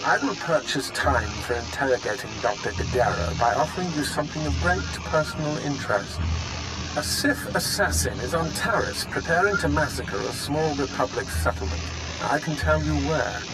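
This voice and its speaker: A man's voice: fairly high pitch, animated, normal speed, very noisy, natural voice. A man playing a composed, calculating villain in a video game, formal and coolly polite. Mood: coolly persuasive. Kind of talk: video game cutscene acting.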